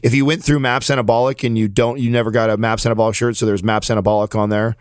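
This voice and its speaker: A man's voice: medium pitch, flat delivery, fast, smooth, dry recording, studio quality. A man co-hosting a podcast, rambling through his stories with plenty of fillers and loose joking. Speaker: podcast co-host. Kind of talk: casual crude banter.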